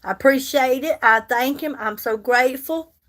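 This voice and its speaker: A woman's voice: high voice, normal speed, fairly bright tone. A woman, chatting casually on a makeup livestream and answering viewer comments. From United States. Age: 50s. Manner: chatty, reads and answers viewer comments, repeats herself for emphasis. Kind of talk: casual livestream chat while doing makeup.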